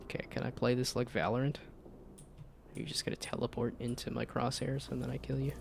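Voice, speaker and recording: Chill young-sounding guy streaming, bit nasal, some gravelly fry, faint hiss behind. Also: mid-range voice, flat delivery, quick pace. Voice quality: slightly nasal. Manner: laid-back, rambling with restarts. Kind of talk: casual gameplay chat.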